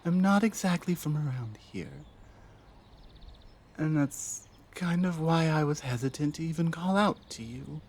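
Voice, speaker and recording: A woman acts out a lost female orc in an intimate audio roleplay. The orc speaks slowly and hesitantly, tripping over restarts. She is timid and guarded, an outcast wary of strangers. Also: deep voice, a little mellow, breathy edge, exaggerated voice. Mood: wary, defensive. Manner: hesitant, halting, with many stammered restarts. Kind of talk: roleplay audio drama, ASMR.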